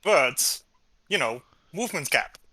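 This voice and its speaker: A man's voice: fairly high pitch, very animated, measured pace, sharp tone, no room sound. A man playing a tabletop game, explaining his character's new ability with lots of fillers. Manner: explains with many likes and fillers. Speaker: player. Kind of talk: casual tabletop game chat.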